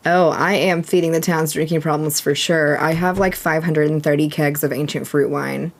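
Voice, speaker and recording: A woman in her thirties from Texas chatting casually and relaxed while streaming a game, reading chat aloud. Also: low voice, normal speed.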